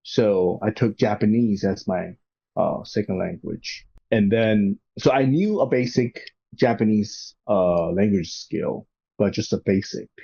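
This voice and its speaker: A man's voice: fairly low pitch, measured pace, vocal fry, dark tone, slightly rough, lo-fi sound. A man co-hosting a podcast, chatting casually, often restarting and repeating words as he goes. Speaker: podcast co-host. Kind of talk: casual conversation.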